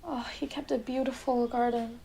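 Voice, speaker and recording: A teenage girl playing a gentle, loyal and concerned wife in a radio drama. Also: on the high side, steady tone, moderate pace, creaky, breathy edge. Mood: saddened. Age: teens, high school student.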